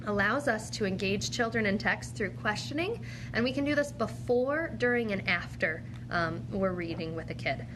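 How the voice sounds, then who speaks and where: Woman teacher with clear background noise. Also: medium pitch. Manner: encouraging, questioning, speaking to a child. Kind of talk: instructional read-aloud demonstration with questioning.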